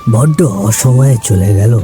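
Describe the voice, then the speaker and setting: A man's voice: lowish voice, slow, warm tone, faint music behind. A man voicing a kindly, chatty older ox-cart driver in a village cartoon story, folksy and conversational. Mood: regretful.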